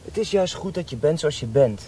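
An ardent, idealistic teenage boy in a radio play. His husky voice is earnest and fervent, heard at some distance from the microphone. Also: on the high side, lively intonation, fairly quick, mellow. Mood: tender, protective. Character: idealistic seventeen-year-old boy, ardent young rebel in love. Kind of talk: radio drama acting.

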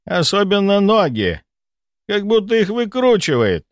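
Man as a high, plaintive male patient. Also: wildly swooping pitch, unhurried, dry recording, full character voice. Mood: suffering. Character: anxious, indignant ailing male patient.

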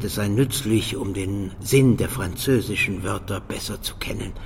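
A man's voice: lowish voice, slight background noise. An actor playing an earnest, modest, pedantic man in a radio drama, explaining everything carefully. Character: earnest, modest, pedantic male clerkish neighbour.

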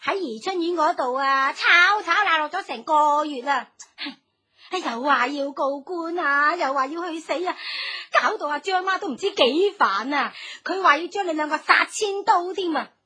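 A very shrill, piercing brothel madam in a Cantonese radio drama. She is shrewd and scornful, scolding in sharp, indignant exclamations. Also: normal speed.

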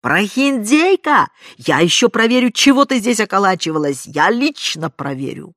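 A woman voicing a loud, spiteful middle-aged aunt who shrieks mocking accusations in a storybook reading. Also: medium pitch, very animated, moderate pace, no room sound, studio quality, voice pushed up. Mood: shrieking.

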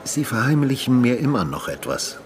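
An actor playing a brooding retired homicide detective in a radio drama, probing and measured in his delivery. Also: medium pitch, big pitch swings, close to an everyday voice. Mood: probing, insistent. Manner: probing, measured, matter-of-fact. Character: brooding retired male homicide detective, insistent questioner and narrator. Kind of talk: radio drama dialogue and narration. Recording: music behind the voice.

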